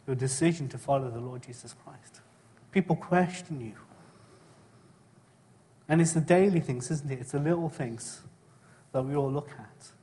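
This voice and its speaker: A middle-aged man from England gives a sermon, speaking in a slightly raised voice. He talks in a conversational way, often turning to his listeners with tag questions and clearing his throat. His voice carries a long reverb from a church hall. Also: a little high, darkish tone. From born in Kenya, raised in England. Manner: conversational, asks the congregation frequent tag questions. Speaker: preacher. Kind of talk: sermon with personal testimony.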